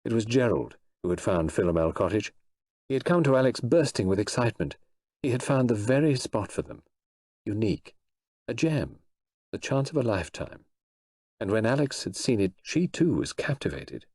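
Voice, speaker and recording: A man reading fiction aloud as an expressive audiobook narrator. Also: fairly low pitch, moderate pace. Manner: expressive storytelling, voices the characters.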